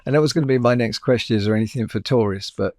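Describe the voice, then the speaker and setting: A man's voice: mid-range voice, very fast, darkish tone, dry recording, clean studio sound. A man hosting an interview, asking casual questions in a friendly way. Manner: friendly, says brilliant and bonkers. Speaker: podcast host.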